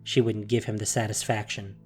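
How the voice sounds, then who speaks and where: Solemn male narrator over soft music. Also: fairly low pitch, little pitch movement, slightly rough. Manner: solemn, deliberate dramatic pauses. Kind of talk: dramatic scripted story narration.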